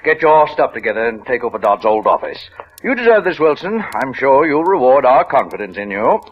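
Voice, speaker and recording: A man's voice: mid-range voice, big pitch swings, fairly quick, close to an everyday voice. Man playing a pompous, condescending company boss. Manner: condescending and hearty. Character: fat pompous male company boss, middle-aged. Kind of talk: 1940s radio drama acting. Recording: dull old radio broadcast with faint hiss.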